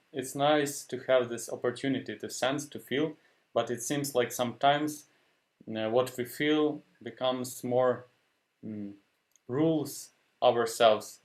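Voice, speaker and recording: A man with a Ukrainian accent, a Ukrainian native speaker, talking in a halting way and often stopping to search for words. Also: mid-range voice. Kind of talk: casual discussion.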